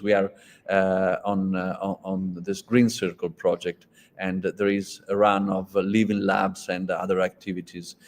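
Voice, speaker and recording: An Italian-speaking man interviewing a guest in English, halting and restarting often, with many fillers. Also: lowish voice, a little mellow. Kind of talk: conversational interview.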